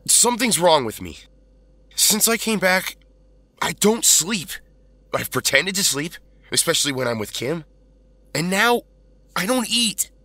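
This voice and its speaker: A man's voice: high-pitched, very animated, a little slow, long pauses, pushed and bright, no room sound, full character voice. A man playing an anguished, earnest teenage hero in an audio drama, pleading as he talks. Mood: troubled.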